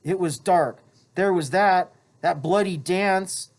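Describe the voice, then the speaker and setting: Reader doing a shrill frightened boy, raised voice, rough grammar, defensive. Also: slow.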